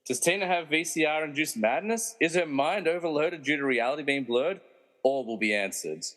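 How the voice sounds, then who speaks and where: A man's voice: fairly high pitch, expressive, moderate pace, a bit bright, in a large hall. A man narrating a scripted radio play as a dramatic storyteller with wry asides.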